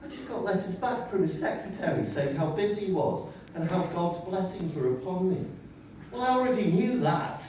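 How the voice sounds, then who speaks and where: A man's voice: high voice, expressive, normal speed, darkish tone. A man reading the part of a deluded, cheerful psychiatric patient who thinks he speaks for God in a stage play, earnest, chatty and pompous, in a very echoey, distant, noisy recording. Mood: earnest, aggrieved. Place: large echoey room, mic at a distance.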